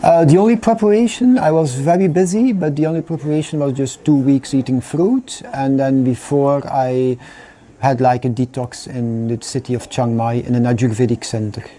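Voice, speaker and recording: A man in his forties from Belgium speaks with a very dark, deeply mellow tone. He talks in an unhurried way, pausing on "uh" and "um". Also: steady tone. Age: 40s. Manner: unhurried, with "uh" and "um" pauses. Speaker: retreat participant. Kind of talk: documentary testimony.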